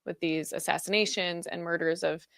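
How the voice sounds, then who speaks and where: Smooth-voiced woman podcast host. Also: lowish voice, steady tone, normal speed, fairly bright tone, dry recording, clean studio sound. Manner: affirming, thoughtful questioning. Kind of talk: interview hosting.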